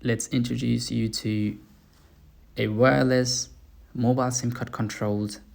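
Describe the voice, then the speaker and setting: A man presenting an installation as a product walkthrough, speaking deliberately in short, halting phrases. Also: medium pitch, little pitch movement, gravelly, background noise. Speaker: gate installer presenting his work.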